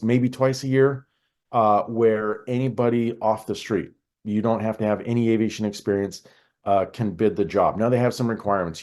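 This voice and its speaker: An American man explaining things conversationally as an expert interview guest, in a halting way with frequent pauses and repetitions. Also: lowish voice, a little mellow. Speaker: podcast guest, air traffic control expert.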